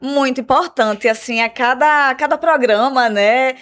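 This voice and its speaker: A woman with a Brazilian Portuguese accent, an English teacher explaining her school's program in a radio interview, measured and full of "né?" tags. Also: high-pitched, a bit bright. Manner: measured, explanatory, repeats words with "né?" fillers.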